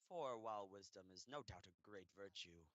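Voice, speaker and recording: A man playing an earnest storyteller who narrates a radio play in a measured, theatrical way. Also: on the low side, animated, fairly bright tone. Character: earnest storyteller narrator addressing dear listeners.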